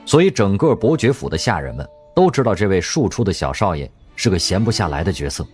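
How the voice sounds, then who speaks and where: A man narrating an audio drama in a wry, expressive storytelling manner. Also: deep voice, fast talker. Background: faint background music.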